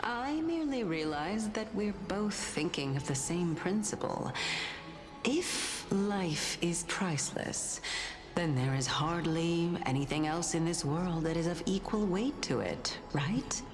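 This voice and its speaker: A woman acting a composed, skeptical scholar in a video game, formal, measured and reserved. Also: fairly low pitch, expressive, music in the background. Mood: thoughtful, probing. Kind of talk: scripted game dialogue acting.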